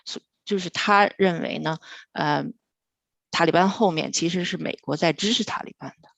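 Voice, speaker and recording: A woman's voice: fairly low pitch, moderate pace, gravelly, fairly bright tone, no room sound, lo-fi sound. A woman acting as host and interpreter, casually paraphrasing what a guest has said in a conversational way.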